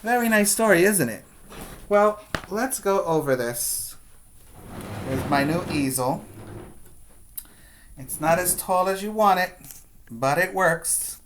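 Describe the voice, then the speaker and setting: A man's voice: high-pitched, lively intonation, takes it slowly, long pauses, a bit bright, a little hiss or hum. A man teaching preschoolers, speaking in an instructive, question-and-answer way as he reads aloud and guides young children.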